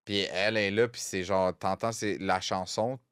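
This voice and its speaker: Low-voiced Québécois man chatting. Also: fairly even intonation, normal speed, fairly bright tone, clear, resonant tone, dry recording, clean studio sound. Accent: Québécois French. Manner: rambling, mixes English phrases, many fillers. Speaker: podcast co-host. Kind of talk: casual chat.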